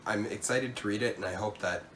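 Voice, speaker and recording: A man's voice: fairly low pitch, a little fast, fairly bright tone, far from the mic, slight background noise. A man with a cold chatting casually about books he bought, stumbling over words now and then. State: has a cold. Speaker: co-host. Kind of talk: casual book haul chat.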